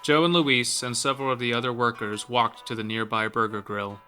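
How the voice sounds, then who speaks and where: A man's voice: mid-range voice, fairly even intonation, a little fast, bright, forceful tone, faint music behind. A man narrating a scripted horror story as the narrator of an audio drama.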